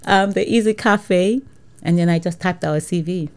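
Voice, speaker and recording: Lively Nigerian-accented woman telling stories. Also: lowish voice, normal speed. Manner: animated, acts out dialogue, laughs often. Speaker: entrepreneur guest. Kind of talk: casual storytelling interview.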